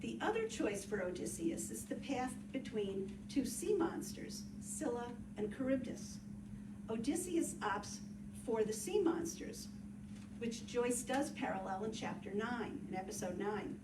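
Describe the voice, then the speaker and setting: A woman's voice: a little high, very animated, unhurried. A woman presenter reading a scripted explanatory introduction. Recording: echoey noisy room, distant mic.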